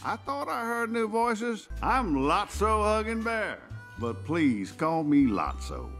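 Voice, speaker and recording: A big folksy cartoon bear welcoming newcomers. He drawls slowly with hugely swinging intonation over clear film music. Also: high-pitched, huge pitch swings, fairly bright tone, full character voice. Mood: warm welcome. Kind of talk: animated film acting.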